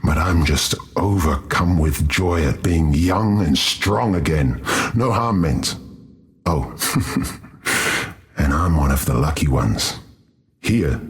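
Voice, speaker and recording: Big hearty warrior spirit for a game, super deep n gravelly, slow talker, likes to boast. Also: male voice, heavily acted. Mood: amused, exultant. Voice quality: gravelly, husky.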